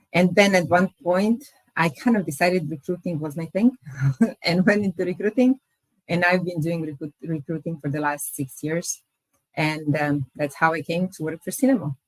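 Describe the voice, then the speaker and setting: A woman, presenting her company in a webinar and pausing often with hesitant fillers. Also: fairly low pitch, no room sound, poor audio. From Croatia. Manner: hesitant, many pauses and fillers.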